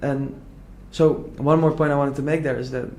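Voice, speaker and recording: A man's voice: on the high side, steady tone, normal speed, darkish tone. Earnest young Dutch man, chatty. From Netherlands. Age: young adult. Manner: earnest, rambling, many "like" fillers and restarts. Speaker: entrepreneur guest. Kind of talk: casual conversational interview.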